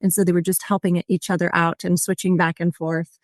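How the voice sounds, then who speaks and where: A woman's voice: on the low side, little pitch movement, brisk, no echo, studio quality. A woman who runs motorcycle tours, speaking as a podcast guest in a rambling way with many "um"s. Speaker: motorcycle tour operator, guest. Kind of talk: casual interview.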